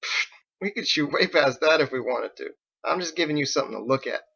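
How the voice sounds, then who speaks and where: A man's voice: on the high side, expressive, fairly quick, vocal fry, sharp tone, husky, highs cut off, pitched above the performer's own voice, full character voice. A man voicing a laid-back, boastful young sailor in an audiobook, talking in casual slang. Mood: casual, bragging. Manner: slangy, folksy, informal. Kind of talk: audiobook character acting.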